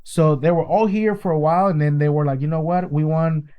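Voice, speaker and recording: A man's voice: fairly high pitch, steady tone, fairly quick, smooth, lo-fi sound. A man from Peru, a guest artist who has children, telling his story in a casual interview inside a car. He talks in a loose, earnest way and keeps saying "bro" and "you know what I mean.". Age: adult with children.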